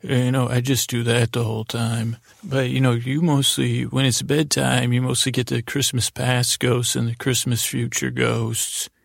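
Male podcast host for a rambling bedtime monologue: deeply creaky, dry close sound, halting delivery that keeps doubling back on itself. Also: level tone, dry recording. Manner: meandering, halting, frequent self-interruption.

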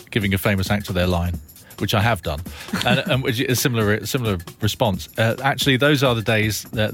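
A man with a fairly low voice hosts a podcast over faint music. He speaks conversationally, in a reflective and emphatic way. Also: fairly bright tone. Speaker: podcast host.

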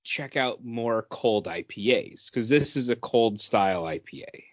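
A man's voice: mid-range voice, animated, a little slow, no room sound. A man co-hosting a podcast in a casual, conversational chat. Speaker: podcast co-host. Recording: dull, lo-fi, dry audio.

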